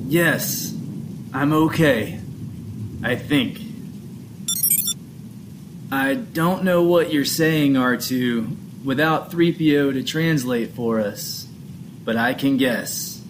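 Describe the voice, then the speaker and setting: A man's voice: mid-range voice, slow pace, long pauses, lightly acted. A man as an earnest young pilot. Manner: earnest, cautious. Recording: loud background noise.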